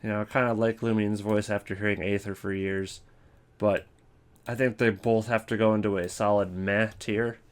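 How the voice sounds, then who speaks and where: A man's voice: lowish voice, flat delivery, moderate pace. A man hosting a video with casual, rambling, offhand gaming commentary. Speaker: video host.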